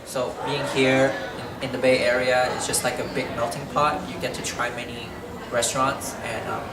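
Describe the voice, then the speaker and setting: A grown man whose first language is Cantonese, originally from Hong Kong, answering interview questions in an open, thoughtful way with pauses. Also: medium pitch, flat delivery. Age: adult. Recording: echoey, far from mic, loud crowd and noise.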